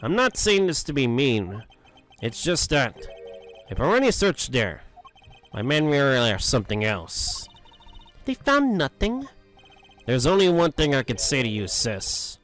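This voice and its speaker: A performer voicing a gruff, cocky male agent in a fan dub of a game, blunt in manner. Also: medium pitch, sing-song, measured pace, a bit bright. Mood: brash.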